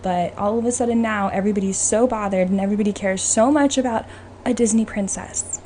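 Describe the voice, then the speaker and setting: Female podcast host, mid pitch, informal and opinionated, conversational delivery over light ambient noise. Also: brisk, mellow. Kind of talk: casual chat about films. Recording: noisy room ambience behind the voice.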